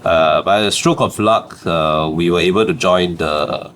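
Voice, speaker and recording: A man's voice: low voice, unhurried, a bit bright, slight background noise. A young adult man from Singapore with a Singaporean accent, a startup founder chatting as a podcast guest, laughing often and dropping "lah" at the ends of phrases. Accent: Singaporean English. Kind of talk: casual interview storytelling.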